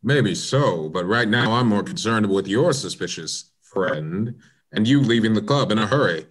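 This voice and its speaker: A low-pitched American inspector acted by a man questions people in a measured, skeptical way. It is the style of a comic radio mystery, composed and probing. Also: expressive, background noise, natural voice. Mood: skeptical, pressing.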